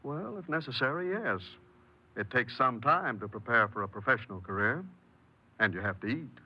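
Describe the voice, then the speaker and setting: On a muffled 1950s radio recording, a practical male adviser with a medium-pitched voice. He is earnest, encouraging and persuasive, in the style of period radio drama. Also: lively intonation, normal speed, breathy edge.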